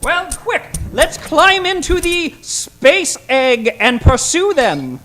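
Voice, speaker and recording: An actor playing an eager, excitable space professor in old-time radio comedy style, theatrical and exclamatory. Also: male voice, extremely high pitch, slow pace, exaggerated voice. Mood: urgent.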